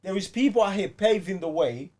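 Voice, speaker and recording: A man's voice: sits high, animated, a little fast, a little mellow. A man with a London accent hosting a casual film chat, slangy and repeating phrases for emphasis. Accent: London British. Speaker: podcast host. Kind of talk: casual panel chat about films.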